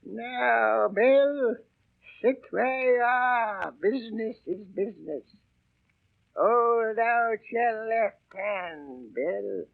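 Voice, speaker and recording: An old blind beggar pirate from a thin 1930s radio play. The voice is high and slow, drawing out pitiful pleas that can turn to threats. It is creaky and sinister. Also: male voice, phone-quality sound, heavily acted. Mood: cold, commanding. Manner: theatrical, drawn-out wheedling turning to hissed threats. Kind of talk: 1930s radio drama acting. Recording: thin, band-limited 1930s radio recording.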